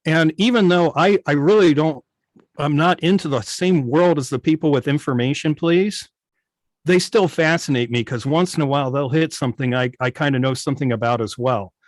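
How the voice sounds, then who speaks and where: A man chatting casually about his hobby, halting but keen on the details, on a video call. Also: fairly high pitch, no echo. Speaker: old-time radio station operator, guest. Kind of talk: casual hobby club chat.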